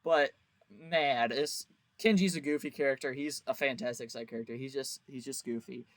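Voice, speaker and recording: A man's voice: medium pitch, fairly even intonation, normal speed, no echo. A man giving a casual, rambling video review as host, with a crude, jokey, exaggerated way of talking.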